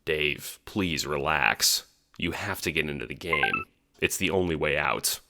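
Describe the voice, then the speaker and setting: A man's voice: very deep voice, lively intonation, unhurried, gravelly, loud music behind the voice, heavily acted. A man voicing a blunt, earnest male friend in an audio drama, firm and given to swearing. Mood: firm pleading.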